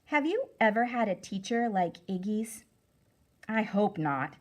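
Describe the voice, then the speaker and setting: Slow, very expressive woman reading aloud. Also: medium pitch. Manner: deliberate, emphatic, instructive. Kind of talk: expressive storybook read-aloud for children.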